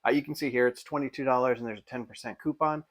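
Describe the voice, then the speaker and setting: Man, mid-pitched voice, casual product reviewer, informal, off-the-cuff unboxing narration. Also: steady tone, fast talker.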